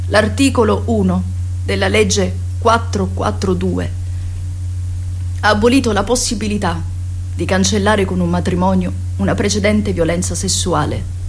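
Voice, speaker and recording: An actress playing a wounded yet defiant survivor, telling her past in an emotional, deliberate radio monologue with dramatic pauses. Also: mid-range voice, slight background noise, close to an everyday voice, poor audio. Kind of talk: theatrical radio monologue, first-person testimony.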